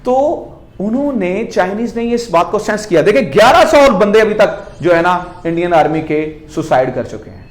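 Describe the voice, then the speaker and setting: A man's voice: sits high, lively intonation, moderate pace. A man with a Pakistani accent hosts a conversational political commentary, explaining emphatically and answering his own rhetorical questions. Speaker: host of a news commentary video channel. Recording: echoey, reverberant room sound.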